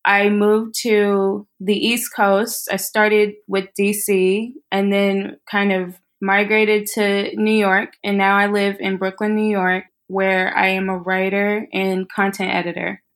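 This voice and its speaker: A woman in her thirties from Texas, calmly recounting her story as a podcast guest. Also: medium pitch. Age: 30s. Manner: calm, measured, matter-of-fact. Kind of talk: interview storytelling.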